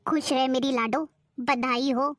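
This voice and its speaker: A woman voicing a gentle, widowed mother who soothes and encourages her daughter in an animated drama. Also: sits high, unhurried, very noisy. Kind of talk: animated family drama dubbing.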